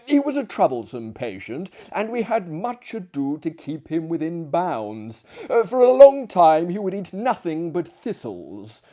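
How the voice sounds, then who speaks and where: A tall, excitable French gentleman from a literary tale, acted by a man reading aloud. He is animated and halting, with a raised voice that leaps in pitch. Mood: animated relish.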